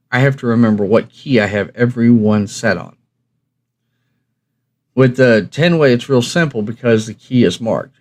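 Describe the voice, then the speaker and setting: A man hosting a review channel, chatting casually and instructively to viewers in a rambling, folksy, plain-spoken way. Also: mid-range voice. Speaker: host of a radio review channel.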